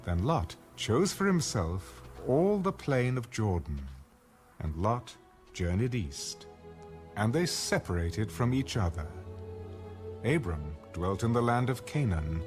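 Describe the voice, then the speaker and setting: A man narrating scripture as a scripted, dramatized reading. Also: medium pitch. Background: music.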